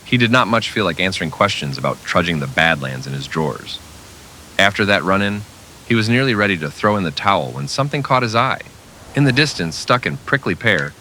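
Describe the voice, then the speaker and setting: A man's voice: fairly low pitch, animated, fairly quick, bright, forceful tone, a little hiss or hum, rough recording. A man narrating a scripted old-time western radio drama with dramatic storytelling delivery.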